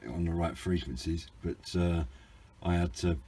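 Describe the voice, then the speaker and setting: A man's voice: deep voice, fairly even intonation, a little slow, mellow, slight background noise. A British man giving an informal, hesitant and understated hobby product review, rambling a little as he explains. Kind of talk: informal unboxing and review narration.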